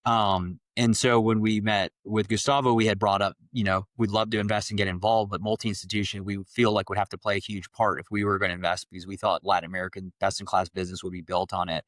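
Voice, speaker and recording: A man's voice: on the low side, flat delivery, fast, hardly any pauses, a bit bright, dry recording. A man hosting a podcast as an investor, explaining at length in a fluent way with some fillers. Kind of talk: casual interview talk.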